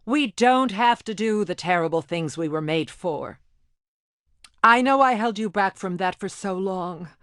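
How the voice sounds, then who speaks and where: A woman's voice: mid-range voice, animated, unhurried, fairly bright tone, dry recording, studio quality. A woman playing a proud, competitive female gem warrior in a cartoon game, with a haughty, theatrical manner. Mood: earnest, pleading. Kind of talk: animated game voice acting.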